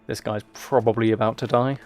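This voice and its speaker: A man's voice: fairly low pitch, fairly even intonation, a little fast, faint music behind. A man streaming a video game, giving casual commentary with dry, flippant, rambling asides.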